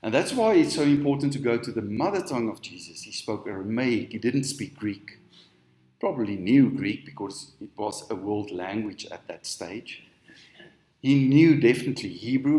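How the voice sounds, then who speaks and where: Low-voiced man, Afrikaans speaker, slow emphatic sermon, echoey room. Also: darkish tone. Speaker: spiritual teacher. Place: on stage in a hall.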